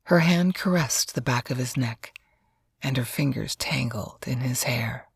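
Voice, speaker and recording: A woman narrator with a husky, gravelly voice reads fiction aloud in a scripted storybook style. The recording is dry and close, with no room sound. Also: extremely low pitch, a little slow, dry recording.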